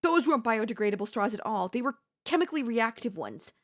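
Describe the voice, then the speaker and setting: A woman's voice: on the high side, lively intonation, quick pace, fairly bright tone. A woman playing an obsessive, scheming yandere in a theatrical, haughty manner with formal phrasing, in an ASMR audio roleplay. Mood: frustrated, incredulous.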